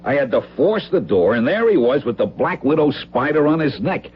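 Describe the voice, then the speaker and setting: An earnest, dutiful police guard in a radio play. The voice is fairly high and very animated. The old recording is muffled, with slight noise. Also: male voice, normal speed. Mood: defensive, earnest. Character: earnest, deferential male police guard, anxious to help. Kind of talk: old-time radio drama acting.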